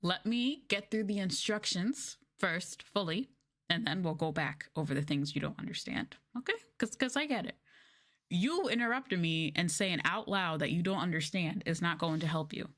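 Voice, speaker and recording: A woman's voice: fairly low pitch, lively intonation, normal speed, fairly bright tone. A woman chatting casually on a livestream, telling stories in informal, slangy language with an exasperated manner. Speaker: livestreamer and former English teacher.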